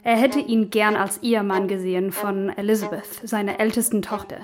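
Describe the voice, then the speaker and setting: A woman's voice: mid-range voice, steady tone, moderate pace, music in the background. Woman giving dryly witty scripted commentary. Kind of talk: scripted explanatory commentary with dry wit.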